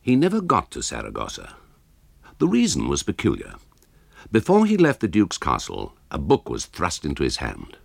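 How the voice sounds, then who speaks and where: A man's voice: extremely low pitch, huge pitch swings, normal speed, gravelly, no echo, exaggerated voice. An actor playing an embittered old author who narrates his tale in a radio drama, wry, scornful and grandiloquent. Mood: wry storytelling.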